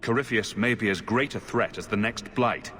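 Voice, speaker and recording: A man's voice: lowish voice, moderate pace, bright, forceful tone, slight background noise. A man playing a skeptical, resolute fantasy hero in a video game, speaking in a firm, questioning way. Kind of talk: dramatic video game acting. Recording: long hall reverb.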